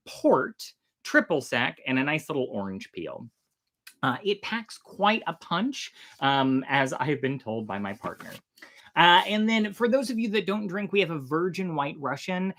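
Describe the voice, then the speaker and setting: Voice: mid-range voice, sharp tone. An informal, enthusiastic host who moves between casual chat and dramatic storytelling. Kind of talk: chatty intro and dramatic reading of an old sea tale.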